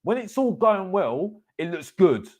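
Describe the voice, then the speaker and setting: A man's voice: high-pitched, sing-song, measured pace, a little mellow. Emphatic man hosting a football fan stream. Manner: emphatic, repeats phrases for effect. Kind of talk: live fan commentary, casual opinion rant.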